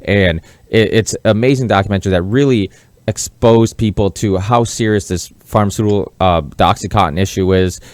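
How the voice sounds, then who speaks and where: Low-voiced male podcast host, dry recording. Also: brisk, a little mellow, no room sound. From Massachusetts, born in Portugal. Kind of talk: conversational interview.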